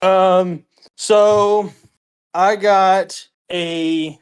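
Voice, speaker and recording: A woman's voice: medium pitch, fairly even intonation, very slow, clean tone. A woman chatting casually as a player in a tabletop roleplaying game. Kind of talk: casual chat while roleplaying.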